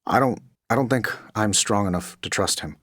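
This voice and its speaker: An actor with an American accent playing a cocky, wisecracking armored superhero inventor in a video game, with glib, sarcastic asides. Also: male voice, lowish voice, moderate pace, a bit bright, dry recording, clean studio sound. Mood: wounded, guarded. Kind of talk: video game character voice lines.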